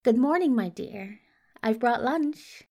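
A woman's voice: a little high, lively intonation, slow pace, dark tone, heavily acted. A woman voicing an anxious, courtly male messenger who speaks with fussy, flustered politeness, eager to please, in an audio drama. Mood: eager, placating. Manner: flustered, placating, genteel phrasing. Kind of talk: multivoice podfic audio drama acting.

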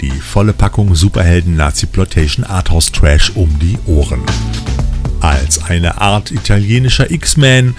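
A man's voice: low voice, expressive, normal speed, over music. A man reading playful, scripted film release news as the host of a weekly show. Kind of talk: scripted upbeat review narration.

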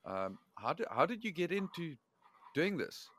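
A man's voice: a little high, very animated, unhurried, a bit bright. A man hosting a casual podcast chat, teasing and swearing freely. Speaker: podcast host.

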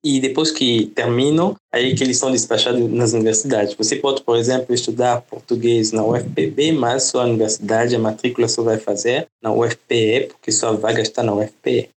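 A man from the Democratic Republic of the Congo, a native French speaker, explaining at length in a relaxed conversational interview. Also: mid-range voice, fairly quick, few pauses. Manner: relaxed, explanatory, often adds "né?" and "entende?". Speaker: podcast guest.

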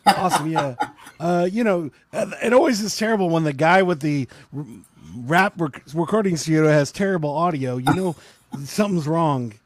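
A man's voice: a little high, moderate pace. A man with a scratchy voice hosting a casual live-stream chat, talking with lots of fillers and laughs. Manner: chatty, laughs often, many fillers. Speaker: podcast host.

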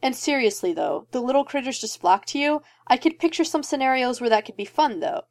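A woman's voice: sits high, lively intonation, voice pushed up. A woman reading a story aloud, voicing a wisecracking male mercenary who rambles from joke to joke. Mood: manic, joking. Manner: fast rambling jokes, breaks into laughter. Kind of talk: fan fiction reading.

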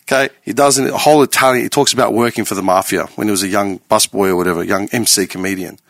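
A man's voice: lowish voice, quick pace, creaky, no echo, studio quality. A man, talking casually and emphatically with a lot of swearing. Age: late 30s. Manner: animated, profane, emphatic. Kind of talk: casual podcast chat.

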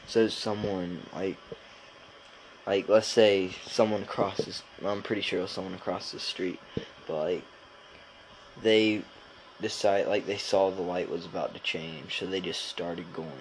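A man's voice: low voice, fairly even intonation, a little slow, a little hiss or hum, low-quality recording. A young man co-hosting a casual podcast, rambling along in informal slang with lots of filler words. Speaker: podcast co-host.

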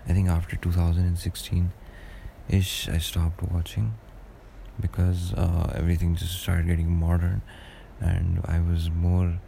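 A young man with a deep voice, rambling through a casual solo podcast monologue with lots of hesitations. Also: completely flat delivery, a little slow, gravelly, warm tone, slight background noise. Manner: rambling and hesitant, many fillers and restarts. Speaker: podcast host.

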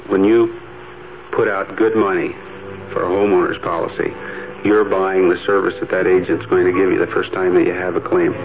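A man's voice: low voice, little pitch movement, moderate pace, crowd noise. A man speaking as an insurance agent, giving a testimonial for a radio commercial. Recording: muffled old radio ad with music.

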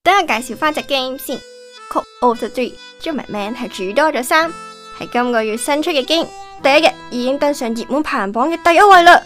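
A woman's voice: sits high, fairly quick. A woman playing a bubbly, bossy young daughter with a Hong Kong accent in a comic audio drama. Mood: playful presenting. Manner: excitable, exclamatory. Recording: music behind the voice.

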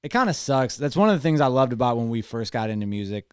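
A young male podcast host whose pitch rises and falls freely as he talks. He thinks out loud in a relaxed, thoughtful way. The sound is close and dry, with no room echo. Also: quick pace, smooth. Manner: thoughtful, talks things through. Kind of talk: casual podcast chat.

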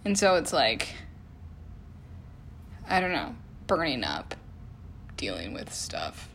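A woman co-hosting a casual chat, reflecting out loud and filling pauses with "like" and "um.". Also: very deep voice, wildly swooping pitch. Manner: reflective, heavy use of "like" and "um". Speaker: podcast co-host.

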